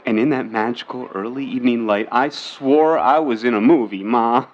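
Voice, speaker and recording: A man playing an eager, lovestruck young son in a 1950s New York audio drama, boyish and halting in his excitement. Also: mid-range voice, muffled recording, lightly acted. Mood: dreamy, smitten. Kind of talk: vintage-set audio drama acting.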